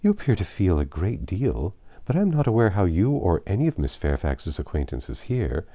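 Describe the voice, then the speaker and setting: A man voicing a composed, wry young gentlewoman in a storybook reading, measured and dryly disapproving. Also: low-pitched, huge pitch swings, no echo, full character voice. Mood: calm, cool. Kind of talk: audiobook character reading.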